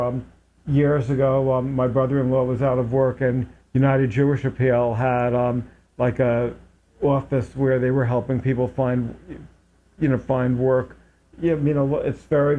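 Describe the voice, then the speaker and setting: A man's voice: medium pitch, mellow. A man hosting a local public access talk show, talking casually with many fillers and restarts. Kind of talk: casual interview chat. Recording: dull lo-fi public access audio.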